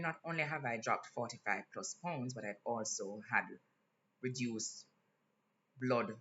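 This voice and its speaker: A man with a Jamaican accent speaks straight to his viewers in an earnest, candid vlog, stopping now and then with fillers as he thinks. His voice sits on the low side yet comes across bright and forceful. The sound is a little dull and lo-fi, with a faint hiss underneath. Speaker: vlogger. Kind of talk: casual vlog monologue.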